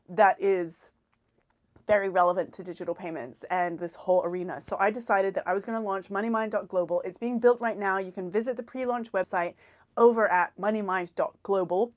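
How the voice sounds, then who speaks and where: A woman hosting a personal finance live stream, talking in a rambling casual monologue with many fillers and repeated words, a little run down. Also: medium pitch. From grew up in Hong Kong, Canada, Australia and New Zealand.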